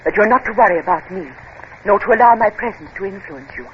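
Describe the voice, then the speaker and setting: A woman's voice: medium pitch, animated, fast, natural voice. An actress playing a poised, spirited aristocratic English lady, gracious and refined, in a 1950s radio drama. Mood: earnest, brave. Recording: dull, noisy 1950s radio recording.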